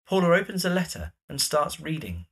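A man's voice: fairly high pitch, very animated, a little fast, fairly bright tone, husky. A man narrating scripted stage directions for an audio drama.

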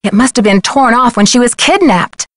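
An actress voicing an earnest, determined young American amateur detective in a video game, speaking clearly, politely and insistently. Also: female voice, on the high side, animated, moderate pace, dry recording, lightly acted. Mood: urgent, earnest.

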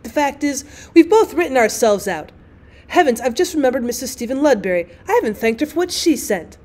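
Woman reading as a tart, weary, high-voiced wife. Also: very animated, a little fast, darkish tone. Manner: wry, formal literary phrasing.

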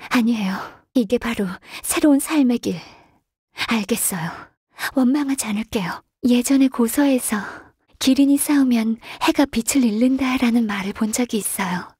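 A woman playing a gentle, shy, earnest half-qilin secretary in a video game, speaking softly and politely with some hesitation. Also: on the high side, dry recording, clean studio sound. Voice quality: soft, breathy. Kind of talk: video game character voice lines.

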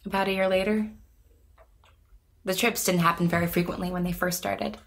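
An actress playing an anxious, lonely woman in her twenties, speaking haltingly and self-deprecatingly in a naturalistic audio drama. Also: on the low side, steady tone, lightly acted. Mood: hesitant, reflective. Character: anxious, lonely young woman who vanishes through time.

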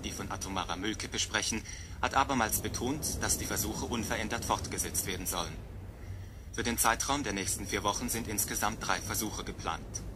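A male news announcer played by an actor reads with official sobriety in a somewhat deep voice. The delivery is a formal radio bulletin inside an audio drama. Clear noise runs behind the voice. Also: natural voice.

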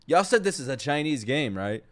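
A man streaming live reactions to game trailers, talking in excitable, slangy, profane casual commentary. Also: medium pitch, moderate pace. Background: game trailer music and voices.

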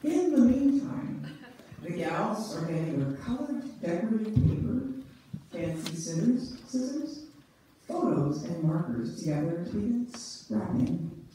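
A woman's voice: on the low side, very animated, measured pace, dark tone, slight background noise. A woman reading scripted stage narration in a church. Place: small church, recorded from audience pews. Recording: echoey hall, far off.

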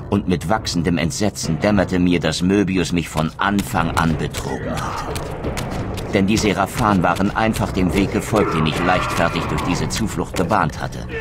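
Man voicing a very deep, brooding undead wraith. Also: lightly acted. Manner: grave, formal, theatrical. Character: brooding undead blue wraith, male, bitter and solemn. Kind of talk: dramatic scripted game dialogue and narration.

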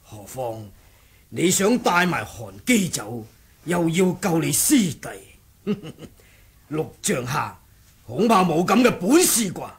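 An actor playing a cunning, composed martial monk in a 1980s radio drama, husky and deliberate in his sly bargaining. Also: a little high, big pitch swings, exaggerated voice. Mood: menacing, taunting. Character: cunning martial monk who feigned muteness, scheming and composed.

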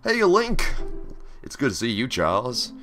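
A man hosting a casual game playthrough, chatty and upbeat, slightly raspy from screaming the day before. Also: mid-range voice, huge pitch swings, measured pace, fairly bright tone. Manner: chatty, reads on-screen text aloud, upbeat. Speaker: gaming channel host. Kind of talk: casual let's play commentary.

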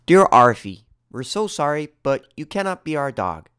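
A man's voice: fairly high pitch, sing-song, normal speed, fairly bright tone, smooth, no room sound, studio quality, pitched above the performer's own voice. A man voicing a polite, apologetic cat owner in a storybook read-aloud. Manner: apologetic, hesitant.

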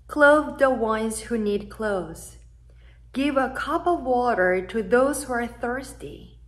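Woman pastor, young, native Korean speaker, prayer from a pulpit, measured and solemn, slightly high voice. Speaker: associate pastor. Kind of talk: leading congregational prayer. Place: church sanctuary, standing at a pulpit.

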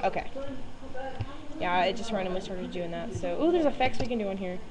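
A woman's voice: mid-range voice, brisk, loud music behind the voice. A young American woman chatting casually for a vlog, recorded on a laptop in a classroom. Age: young adult. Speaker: student vlogger, cast member. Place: indoor college classroom with other students. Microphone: laptop built-in mic, moved around the room.

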